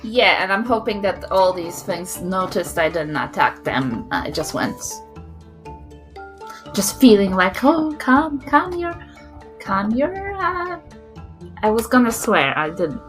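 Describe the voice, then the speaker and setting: A woman's voice: mid-range voice, big pitch swings, takes it slowly, faint music behind. A woman with a New Zealand accent, playing a tabletop game and thinking aloud hesitantly about her moves. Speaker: player. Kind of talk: casual tabletop play.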